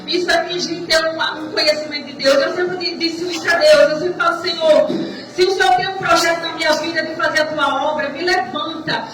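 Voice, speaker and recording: A woman's voice: very high voice, steady tone, a little fast, a bit bright, faint music behind. A Brazilian deaconess giving an earnest testimony sermon in church, stammering and repeating words as she exhorts. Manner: earnest, repetitive, stammering delivery. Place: church service indoors, distant microphone. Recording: echoey room, far mic, noisy.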